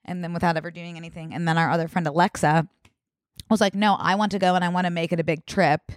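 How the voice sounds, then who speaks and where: Chatty young woman with a low, dry voice. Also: fairly even intonation, fast talker, no room sound. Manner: chatty storytelling, frequent likes and ums, laughs easily. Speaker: podcast co-host. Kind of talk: casual chat.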